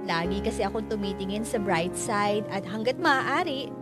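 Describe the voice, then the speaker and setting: A woman's voice: mid-range voice, moderate pace, lightly acted. A woman acting a wounded but hopeful young daughter, narrating her life in a radio drama with a Filipino accent. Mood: accepting. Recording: loud music under the voice.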